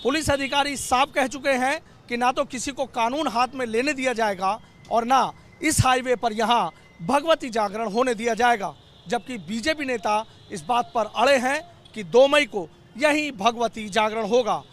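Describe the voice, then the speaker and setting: A man reporting news outdoors by a highway in a formal, steady delivery. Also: moderate pace. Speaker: field reporter.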